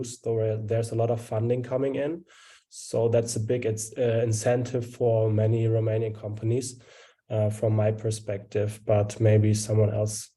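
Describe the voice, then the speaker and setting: A man, a student presenter, answering a seminar question on a video call, halting and full of fillers. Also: mid-range voice, warm tone.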